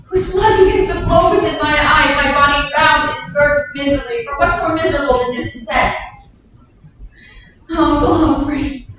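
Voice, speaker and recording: A woman's voice: high voice, steady tone, moderate pace, a bit bright. A woman reading a cold, scheming queen in a staged Shakespeare reading, with pointed, accusing verse. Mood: mournful. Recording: echoey hall, far from mic, faint noise.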